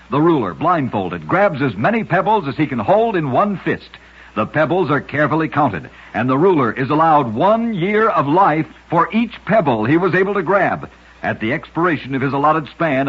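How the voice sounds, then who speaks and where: A man's voice: a little high, slightly rough. A man narrating short scripted oddity stories as a radio host, with a dramatic storytelling delivery. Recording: muffled vintage radio recording.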